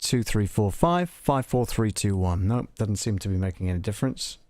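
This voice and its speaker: A man hosting a live software walkthrough, talking casually and tentatively, thinking aloud as he tests things. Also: lowish voice, moderate pace, no room sound. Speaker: livestream host demonstrating audio software.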